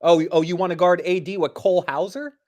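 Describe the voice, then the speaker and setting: A man's voice: sits high, fairly even intonation, quick pace, a little mellow. Man giving analytical basketball commentary.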